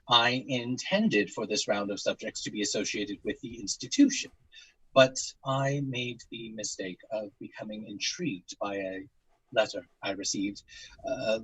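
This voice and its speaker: Halting academic psychologist, slightly husky. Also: male voice, on the low side, a bit bright, no echo, rough recording. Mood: measured, hesitant. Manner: halting, careful, scholarly delivery with hesitant pauses. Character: Doctor Porter, measured academic psychologist giving a formal statement. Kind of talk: audio drama monologue, testimony.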